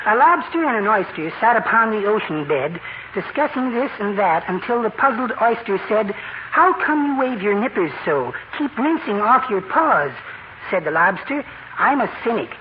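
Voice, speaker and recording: A man's voice: high voice, sing-song, moderate pace. Meek, precious little man of verse, very swoopy delivery, old radio comedy, dull noisy recording. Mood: shyly proud. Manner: mild, polite, precious. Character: timid, meek, dainty little man, amateur poet. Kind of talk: 1940s radio comedy acting.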